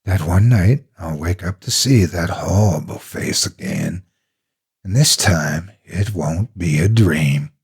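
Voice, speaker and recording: A man with a husky, gravelly voice narrating a horror story in the first person, dramatically and with deliberate expression. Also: sits low.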